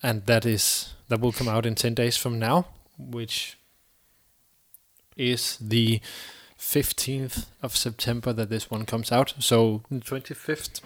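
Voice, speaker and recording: A man co-hosting a casual podcast discussion, halting and repeating words as he thinks. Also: medium pitch, level tone. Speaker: podcast co-host.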